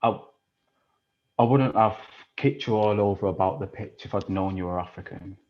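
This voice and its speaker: An actor playing a rough, foul-mouthed lad who mocks and threatens, in an audio drama with a slightly dull sound. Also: male voice, lowish voice, normal speed, lightly acted. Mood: sneering. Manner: swearing, menacing, mocking. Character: rough working-class British boy, aggressive, crude, defensive. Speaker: actor in a stage play.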